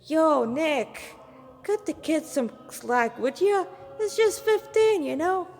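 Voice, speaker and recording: A woman's voice: high voice, sing-song, slow, a little mellow, voice pushed up, full character voice. A woman voicing a brash, laid-back male demon hunter with a slangy, casual manner in a fantasy story reading. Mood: teasing, protective. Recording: reverberant hall-like room sound.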